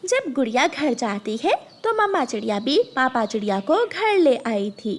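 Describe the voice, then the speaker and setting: A woman's voice: high voice, big pitch swings, moderate pace. A woman reading scripted narration for a children's cartoon story. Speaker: story narrator, also voicing bird characters.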